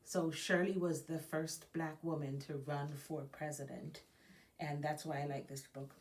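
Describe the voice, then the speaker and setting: A woman's voice: deep voice, little pitch movement, a little slow. A woman from Jamaica, an education nonprofit director, reading aloud for a children's story time with informal asides.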